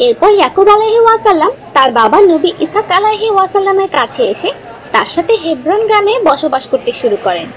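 A woman narrating a scripted children's story in a clear, instructive manner. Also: very high-pitched, big pitch swings, fast, low-quality recording. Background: background music.

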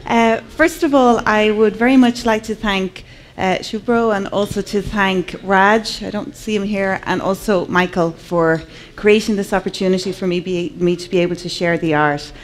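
A woman's voice: medium pitch, steady tone, slight background noise. Earnest Irish woman at a conference. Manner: earnest, measured, slowing on key words. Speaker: conference speaker, artist. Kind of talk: personal talk to a live audience. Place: large conference hall, indoors. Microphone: headset mic.

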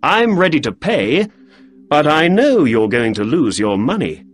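A courteous, probing detective with a raised, lively voice and a soft husky edge, as a male narrator puts him on. He questions people politely and steadily, over faint music. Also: fairly high pitch, measured pace, pitched above the performer's own voice, exaggerated voice.

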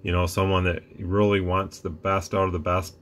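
A man casually explaining a radio on his workbench, relaxed and rambling with fillers and restarts. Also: low voice, normal speed, slight background noise. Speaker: radio reviewer. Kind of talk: casual product demo. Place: workbench room with radio gear.

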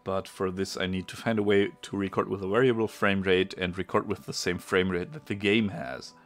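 A man with a German accent, a non-native English speaker, giving chatty let's-play commentary and sometimes pausing to search for a word. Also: sits low, steady tone. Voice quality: gravelly. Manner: chatty, pauses to search for words. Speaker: gameplay streamer.

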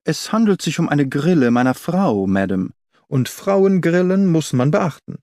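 Man reading as an insistent, mellow-voiced gentleman. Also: a little high, very animated, a little slow, dry recording, clean studio sound, pitched above the performer's own voice. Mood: politely insistent. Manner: formal, courteous, persuasive. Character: eager, insistent middle-aged English gentleman employer, politely firm.

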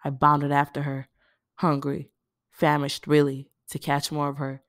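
A woman's voice: sits low, monotone, a little slow, no echo, clean studio sound. A woman reading a first-person novel aloud as scripted narration. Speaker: audiobook reader.

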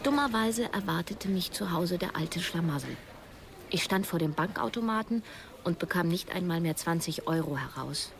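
A woman's voice: fairly low pitch, expressive, normal speed, creaky, noisy background. An actress playing a disillusioned, sceptical writer in her thirties, narrating and acting in a radio drama with sober, wry, literary phrasing. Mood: sober, rueful. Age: 30s. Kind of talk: first-person radio drama narration and dialogue.

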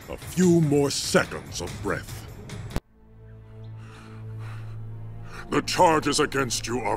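A man playing a stern, proud warrior emperor in a game, speaking formally and imperiously. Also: mid-range voice. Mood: grim. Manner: formal, imperious, measured speech. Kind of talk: video game cutscene acting.

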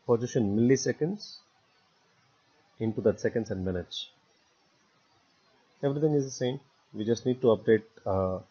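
A man narrating a step-by-step software tutorial in a halting way, repeating words as he goes. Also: on the low side, breathy edge. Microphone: voice-over recorded for screen capture. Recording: dull, muffled lo-fi voice recording.

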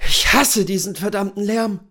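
Man playing a fretful, high-voiced nervous safari husband. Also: moderate pace, sharp tone. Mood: exasperated. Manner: jittery, fretful, complaining. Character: nervous, irritable husband, a novice hunter on safari.

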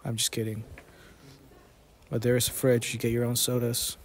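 Male food vlogger, thirties, medium pitch, little intonation, dry joking voice-over. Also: moderate pace, slight background noise. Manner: deadpan, jokey. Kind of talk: narrated vlog voice-over, casual storytelling.

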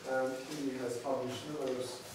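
A man's voice: mid-range voice, flat delivery, moderate pace. Distant, echoey young man introducing a lecturer. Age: 30s. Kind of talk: formal introduction, read from notes. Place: small lecture room with seated audience, indoors. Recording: echoey room from afar, noisy background.

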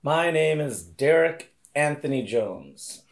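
A man's voice: a little high, sing-song, takes it slowly. An American man in his late thirties from Hawaii, casually telling his life story step by step. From Hawaii, United States. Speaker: interviewee. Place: indoor living room, seated in armchair.

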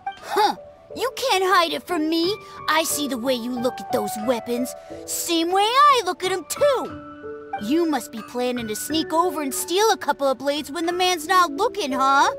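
A woman's voice: high-pitched, sing-song, moderate pace, music in the background. An actress voicing a cocky, suspicious little boy who acts the mighty warrior in an anime-style video game, brash and blustering. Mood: suspicious, warning.

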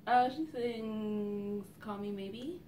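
A woman's voice: medium pitch, drawn-out words, background noise. A young woman chatting casually and giving game clues with family on a couch, recorded by a camera a few metres away. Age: young adult. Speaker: vlogger playing a family party game. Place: living room, on a couch. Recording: roomy, distant camera mic, faint music.

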